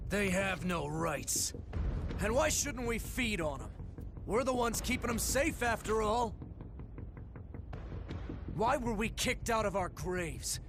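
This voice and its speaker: An actor playing a belligerent, bitter young thug in a dramatic video game cutscene, sneering and confrontational. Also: male voice, high voice, full character voice.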